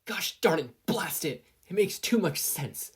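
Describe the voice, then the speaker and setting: A reader doing a grumpy, husky teenage boy. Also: medium pitch, animated, measured pace, voice pushed up. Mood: exasperated. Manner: grumbling, exasperated, sarcastic. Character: brash, grumpy, stubborn teenage boy rival.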